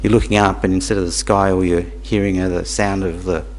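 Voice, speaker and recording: A man born in Tanzania, teaching Buddhism to a small group in a halting, repetitive way, with questions for the audience. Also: low voice, flat delivery, darkish tone. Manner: halting, repeats words, asks the audience questions. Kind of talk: dharma talk to a small group.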